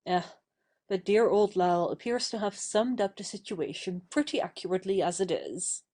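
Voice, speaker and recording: A woman playing a composed, kindly and teasing young lady in an audio stage play, measured and gently reproving. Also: medium pitch, close to an everyday voice. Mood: wry, guarded.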